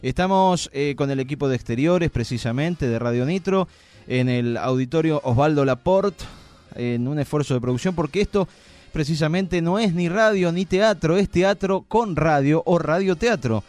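A man's voice: on the high side, normal speed, a little mellow, soft background music. A man with an Argentine accent hosting a live radio show, with a playful, joking way of talking. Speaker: radio host.

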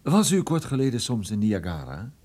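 An actor playing a wry, musing private detective in a radio play, speaking in a hesitant, ironic, conversational way. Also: male voice, on the low side, huge pitch swings, moderate pace, a little mellow. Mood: polite, probing. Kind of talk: radio drama dialogue.

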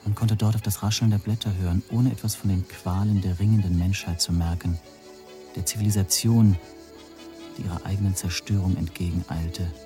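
An actor playing an anxious, wry spy suspect who narrates reflectively in the first person in a radio drama. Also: male voice, low-pitched, steady tone, brisk, soft background music, natural voice.

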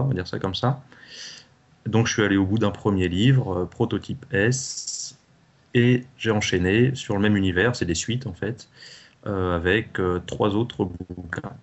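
A male interview guest with a slightly low voice chats casually. He is polite and hesitant, stopping often mid-sentence, and the sound is a little dull. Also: fairly even intonation, measured pace. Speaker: interview guest, amateur novelist.

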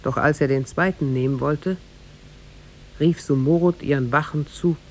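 A man narrating a tale in a 1950s German radio play, formal and measured. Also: fairly high pitch, slight background noise. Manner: formal, measured storybook delivery.

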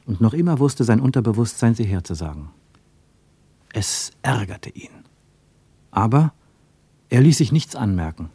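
Low, mellow male radio narrator. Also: big pitch swings, moderate pace, pauses often, slightly rough, no echo. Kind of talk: literary radio play narration.

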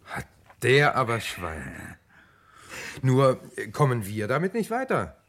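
A dutiful police assistant in a radio crime play. His mid-pitched voice swings up and down with lots of animation. He is eager, chatty and respectful to his superior. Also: slow, full character voice. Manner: deferential, animated, colloquial. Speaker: radio drama actor. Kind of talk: German radio crime drama acting.

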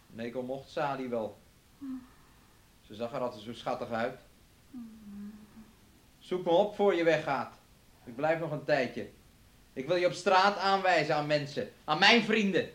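An actor in a radio play playing a callous, coaxing man who talks with quiet insistence. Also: fairly high pitch, unhurried, pauses often. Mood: detached, casual. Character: callous, coaxing man exploiting a sick woman.

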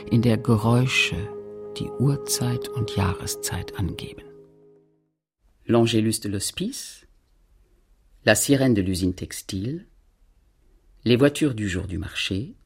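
A narrator in a radio play, reflectively recalling a postwar provincial childhood in measured, deliberate, literary recitation. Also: mid-range voice, slightly rough, full character voice.

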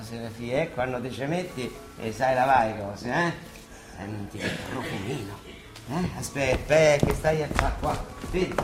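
An actor playing a bustling, grumbling but fond monsignor with a Roman accent, in a theatrical radio drama style. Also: male voice, mid-range voice, lightly acted. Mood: fond, gentle, then fussing, impatient. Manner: colloquial, chivvying, muttering and exclaiming. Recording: echoey stage-like sound with music behind.

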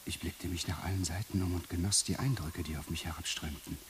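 A deep-voiced dazed man acted by a man pieces his memories together in a halting, often whispered radio monologue. The old recording carries clear tape hiss. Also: steady tone, close to an everyday voice. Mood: awed, rising. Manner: halting, repeats prompts, often drops to a whisper. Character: dazed man slowly recalling his regained senses. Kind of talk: radio play acting, monologue. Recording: hissy early 1980s radio play recording.

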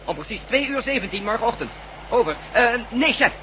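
A man acting a brisk, matter-of-fact radio operator of a resistance team in a Dutch radio drama. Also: extremely high pitch, animated. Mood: reporting. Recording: noisy radio drama recording.

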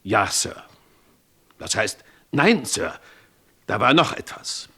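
An actor playing a dutiful, respectful river police constable, giving a measured, deliberate report in a German radio crime drama. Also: male voice, fairly low pitch, big pitch swings, sharp tone, slightly rough, exaggerated voice. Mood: hesitant, thoughtful. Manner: deferential, measured witness report.

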